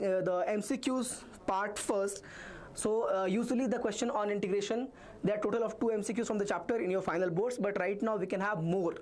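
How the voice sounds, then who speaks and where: A man's voice: high-pitched, darkish tone. A man with an Indian accent teaching a live online maths revision class, reassuring students and reading their comments aloud.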